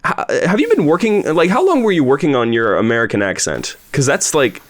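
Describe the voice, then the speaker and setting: A man's voice: fairly high pitch, sing-song, brisk. A man chatting casually and enthusiastically as host of a commentary discussion. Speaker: host and producer of an audio drama.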